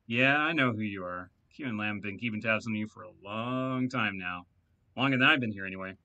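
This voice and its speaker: A man's voice: lowish voice, a little fast, sharp tone, muffled recording. A man voicing a rambling, talkative male hacker who boasts and amuses himself in a video game read-aloud. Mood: chatty.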